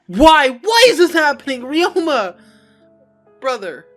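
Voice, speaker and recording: A man's voice: extremely high pitch, very animated, slow pace, soft background music, heavily acted. A man playing an anguished, intense young traitor in a dramatic reel. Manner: brooding, intense, emotional. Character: anguished young traitor grieving his brother. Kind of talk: dramatic character acting.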